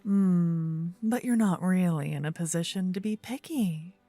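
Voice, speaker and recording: A woman playing a possessive demon queen spirit in a fantasy ASMR roleplay, breathy, smug, teasing and regal. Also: lowish voice, unhurried, dark tone, no room sound, faint music behind. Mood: coaxing, possessive.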